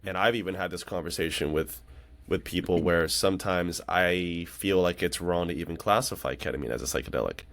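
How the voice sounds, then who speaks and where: A man's voice: sits low, a little fast, fairly bright tone. A man in his late thirties chatting casually on a podcast in an earnest, reflective, rambling way. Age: late 30s. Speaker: podcast conversation partner.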